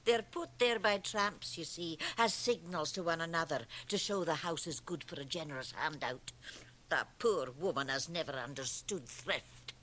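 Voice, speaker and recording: An actress playing a practical, stern small-town mother who worries over her neighbours, brisk and earnest, in a radio drama. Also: low-pitched, a bit bright. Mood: brisk, commanding. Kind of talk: 1980 radio drama acting. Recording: noisy home cassette copy of radio drama.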